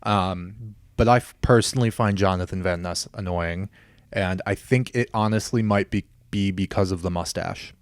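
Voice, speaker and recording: Deadpan low-voiced man, dry delivery. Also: normal speed, dry recording. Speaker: podcast co-host. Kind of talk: casual chat.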